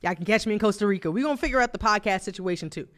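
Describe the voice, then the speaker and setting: High-voiced, smooth man with a New Jersey accent. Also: rapid-fire. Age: 30s. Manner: relaxed, rambling, with frequent fillers and laughs. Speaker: podcast co-host. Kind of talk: casual chat.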